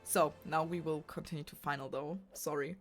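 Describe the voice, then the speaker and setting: A woman's voice: low-pitched, fairly even intonation, moderate pace, soft background music. A young woman with a German accent chatting casually on a game stream, rambling and often restarting and correcting herself. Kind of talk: casual chat.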